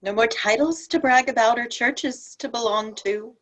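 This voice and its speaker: A woman's voice: on the high side, moderate pace, a bit bright, close to an everyday voice, low-quality recording. A woman playing a worldly, candid dead soul awaiting judgment, matter-of-fact and formal, in a play read-through. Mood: knowing. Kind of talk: acting in a virtual table read.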